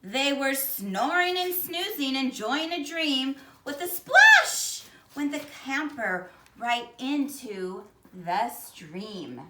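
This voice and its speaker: Slow, animated woman reading a storybook. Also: sits high, recorded from a distance, a little hiss or hum. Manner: expressive, deliberate storytelling with dramatic emphasis. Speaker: teacher reading a picture book aloud. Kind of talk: animated children's storybook read-aloud. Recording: echoey, roomy sound.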